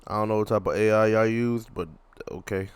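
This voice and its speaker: A man's voice: on the low side, steady tone, brisk, soft background music. A man giving casual reaction commentary in a slangy, repetitive way. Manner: slangy, repeats himself. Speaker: reaction video creator.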